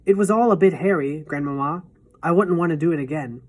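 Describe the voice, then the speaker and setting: A woman voicing an eager young boy who calls out loudly and excitedly. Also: low-pitched, fairly quick. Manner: eager, breathless, loud.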